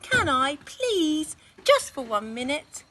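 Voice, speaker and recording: A woman's voice: sits high, very animated, slow pace, heavy background noise, pitched above the performer's own voice, full character voice. A woman voicing eager, whiny young elephant children from a storybook, pleading and insistent. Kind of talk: children's storybook character reading.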